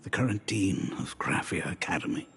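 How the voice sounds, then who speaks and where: An actor playing a deliberate, probing man of quiet authority in a video game, speaking haltingly in a gravelly voice. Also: sits low, expressive, slight background noise.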